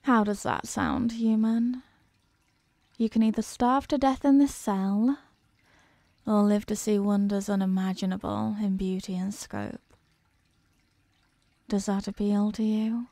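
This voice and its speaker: A woman voicing an imprisoned ancient eldritch being in a horror ASMR roleplay, imperious, possessive and condescending, with slow and deliberate delivery. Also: medium pitch, steady tone, warm tone, dry recording, natural voice. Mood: possessive, grandiose. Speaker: audio roleplay performer.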